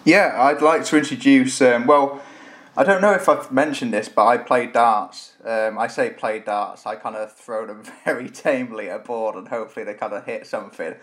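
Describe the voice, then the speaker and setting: A man co-hosting a casual podcast. He rambles cheerfully and with enthusiasm, voice pitched in an ordinary middle range. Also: moderate pace, a bit bright. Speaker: podcast co-host.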